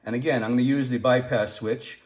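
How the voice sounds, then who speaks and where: A man giving a casual, unscripted product review as he demonstrates gear, halting and restarting his phrases. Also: medium pitch, steady tone, a little mellow. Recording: roomy, echoing sound.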